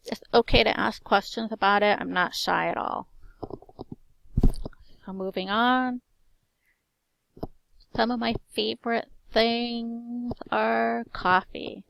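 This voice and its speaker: A woman's voice: on the high side, takes it slowly, creaky, pauses often, no room sound, low-quality recording. A woman in her forties, a teacher, introducing herself in a strong voice that carries, with a slight slur on her S sounds. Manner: lists things in short even phrases. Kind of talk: personal self-introduction monologue.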